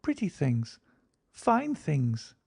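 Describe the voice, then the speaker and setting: A man reading an audiobook, voicing an innocent little frontier girl in a high, childlike rustic dialect. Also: very animated, a little slow, mellow, no echo, studio quality, full character voice. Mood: earnest. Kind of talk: audiobook character voice.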